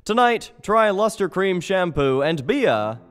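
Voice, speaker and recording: An actor playing a smooth, emphatic announcer in an old-time radio commercial. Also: male voice, high-pitched, big pitch swings, measured pace, soft background music. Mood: smooth, persuasive. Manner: salesy, emphatic. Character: smooth radio announcer selling shampoo to women.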